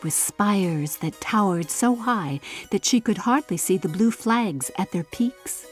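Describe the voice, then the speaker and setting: A woman narrating a fairy-tale audiobook in a measured, expressive storytelling style. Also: medium pitch. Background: music.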